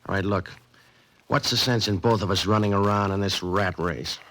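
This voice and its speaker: A menacing tough-guy crook played by a man in an old radio mystery. The voice is low, and the talk is blunt, clipped and slangy. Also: fairly even intonation, moderate pace, fairly bright tone, lightly acted. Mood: gruffly threatening. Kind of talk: old-time radio drama acting.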